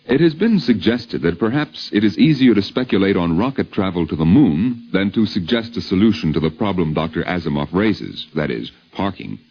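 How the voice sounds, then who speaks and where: A man's voice: low-pitched, expressive, moderate pace, hardly any pauses, husky. A man narrating a scripted 1950s radio documentary in a polished, formal style with a wry literary turn. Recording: dull lo-fi 1950s radio recording.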